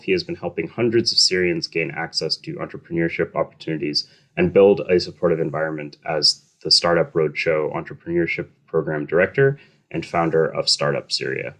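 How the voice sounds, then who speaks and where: A man hosting an interview, giving a formal, measured introduction of his guest. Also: low-pitched, a bit bright. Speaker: host and outreach coordinator. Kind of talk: hosted online interview.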